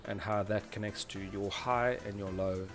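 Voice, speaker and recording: Low-pitched man giving a halting technical tutorial. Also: steady tone, over music. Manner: hesitant, halting delivery with repeated rephrasing. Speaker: presenter of an audio engineering tutorial. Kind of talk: informal explanatory tutorial narration over diagrams.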